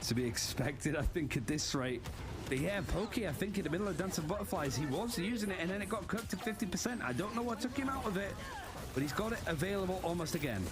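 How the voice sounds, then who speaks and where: A man casting an esports match, giving steady analytical play-by-play commentary. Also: sits high, fast talker, long pauses, loud music behind the voice. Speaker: esports caster.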